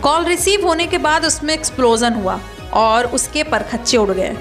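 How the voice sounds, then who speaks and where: High-voiced woman narrating over music. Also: moderate pace. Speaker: video presenter. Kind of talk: explainer narration. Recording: music bed behind voice.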